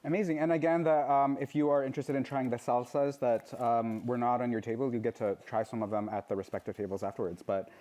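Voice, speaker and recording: A man's voice: medium pitch, fairly even intonation, fast talker, few pauses, warm tone. A man hosting a live event on stage, introducing guests and asking questions with frequent ums and uhs. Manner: hesitant, many ums and uhs. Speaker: event host.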